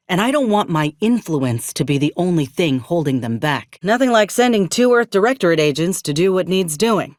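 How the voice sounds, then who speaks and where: A folksy soldier, close and dry. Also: female voice, deep voice, no echo. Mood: resolute, earnest. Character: frank, loyal frontier woman soldier with dry wit. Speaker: video game voice actor. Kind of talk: scripted video game character dialogue.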